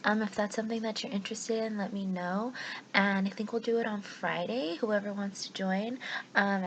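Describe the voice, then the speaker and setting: A woman teacher speaking to her students in an expressive read-aloud style. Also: mid-range voice, highs cut off, slight background noise.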